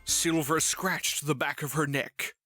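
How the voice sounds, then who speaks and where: A woman's voice: deep voice, fairly even intonation, measured pace, pushed and bright, soft background music. A woman reading scripted storybook narration as the narrator.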